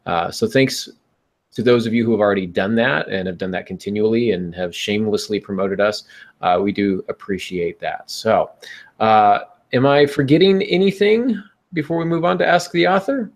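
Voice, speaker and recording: Man, low gravelly voice, podcast host, casual talk, advising listeners. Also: moderate pace. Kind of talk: casual podcast talk giving writing advice.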